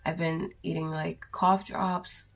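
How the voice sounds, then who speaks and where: A young woman with a sore throat and cough, chatting casually in a raspy voice through a makeup haul vlog. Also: low voice, little pitch movement, takes it slowly. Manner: chatty, rambling, with many ums and likes. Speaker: beauty vlogger.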